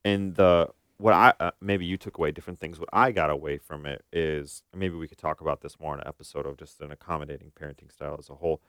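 A man's voice: very deep voice, steady tone, quick pace, hardly any pauses, fairly bright tone, smooth, no room sound, studio quality. An American man co-hosting a podcast as a therapist, explaining his views casually and acting out bits of imagined dialogue.

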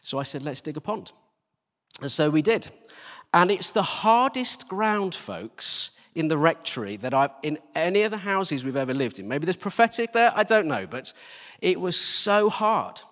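A middle-aged man gives an anecdotal sermon in a fairly high, lively voice. His delivery is conversational and self-mocking, swinging up and down in pitch. The long reverb of a church hall surrounds him. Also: normal speed. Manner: self-deprecating, conversational, chuckling storyteller. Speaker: church team leader preaching. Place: church hall, live talk.

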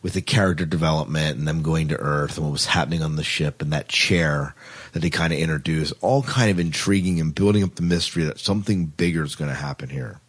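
A man's voice: extremely low pitch, fast talker, slightly rough. A man hosting a casual podcast chat about television, informal and enthusiastic in the way he talks. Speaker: podcast host.

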